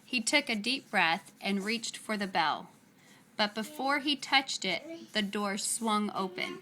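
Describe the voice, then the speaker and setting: A woman reads a children's story aloud in a bright, clear-cut storytelling style. Her phrasing is deliberate and dramatic, with pauses. There is some faint noise behind her. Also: mid-range voice, animated. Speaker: storyteller reading a picture book aloud. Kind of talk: expressive read-aloud narration of children's story.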